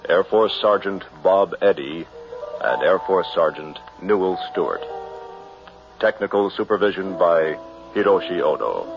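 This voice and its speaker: A man's voice: sits low, vocal fry. A man reading scripted credits as a 1960s radio announcer. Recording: dull vintage radio recording. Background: music.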